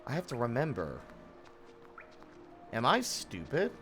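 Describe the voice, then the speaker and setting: A man's voice: mid-range voice, wildly swooping pitch, unhurried, fairly bright tone. Guy doing casual game stream chat, playful and sweary, bit of music in the background. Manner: playful, self-interrupting, profane. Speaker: game livestreamer.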